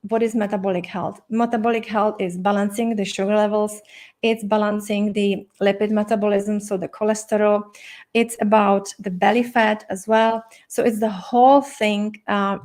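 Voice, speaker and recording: A woman's voice: mid-range voice, darkish tone. A middle-aged woman with a Czech accent, leading a live online health class in a friendly way, with many fillers and restarts. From Czech Republic. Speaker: doctor and health coach hosting an online masterclass. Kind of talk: live-streamed teaching session.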